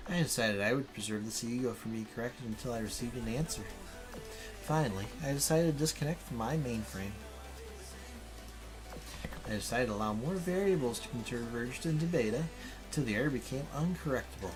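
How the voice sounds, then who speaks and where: A man's voice: mid-range voice, over music, close to an everyday voice. A man voicing a female machine intelligence, formal and clinical, questioning its existence in a visual novel. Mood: brooding, doubting. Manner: measured, formal, clinical delivery.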